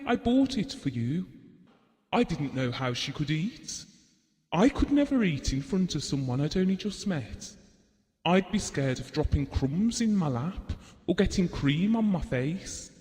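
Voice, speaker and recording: An actor playing a shy, devoted husband in an audio drama, speaking earnestly and nicely. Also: male voice, high-pitched, big pitch swings, unhurried, a little mellow, long reverb tail. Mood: shy, eager. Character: shy, devoted, well-spoken husband reminiscing about his marriage.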